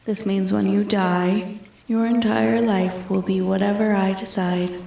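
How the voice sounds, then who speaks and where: A woman's voice: medium pitch, gravelly, darkish tone. A woman playing an ancient, arrogant female dragon in a roleplay ASMR, haughty and taunting, often sinking toward a whisper. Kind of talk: roleplay ASMR, scripted dramatic monologue. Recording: echoey, distant from the mic.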